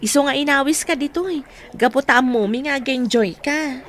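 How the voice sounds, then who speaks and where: A woman's voice: high voice, moderate pace, fairly bright tone, a little hiss or hum. A woman with an Ilocano Filipino accent playing a cheerful, supportive young friend in an audio drama. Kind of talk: amateur audio drama acting.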